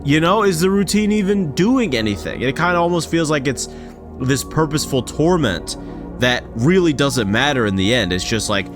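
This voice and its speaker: Casual male reaction commentator, animated and rambling, speaking over prominent background music. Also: a little high, moderate pace. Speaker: reaction video creator. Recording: loud music under the voice. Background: background music from games.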